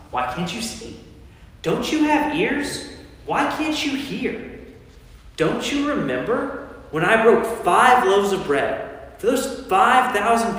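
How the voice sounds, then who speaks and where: In a reverberant church hall, a man plays an earnest, commanding male teacher in a staged scripture reading. His voice sits fairly high and moves with lively swings in pitch. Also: heavily acted.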